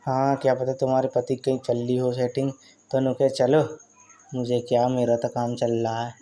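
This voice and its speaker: Casting: male actor as married flirt, soft mellow tone, mid-pitched, sly innuendo, casual phone-call drama. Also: completely flat delivery, moderate pace, close to an everyday voice. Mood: confiding. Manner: teasing, double-meaning banter. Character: flirtatious married man, teasing and suggestive.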